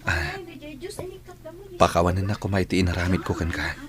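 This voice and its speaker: A man's voice: sits low, fairly even intonation, moderate pace, a crowd in the background, natural voice. A man with a Filipino accent playing an emotional, repentant young prisoner in an audio drama. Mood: remorseful.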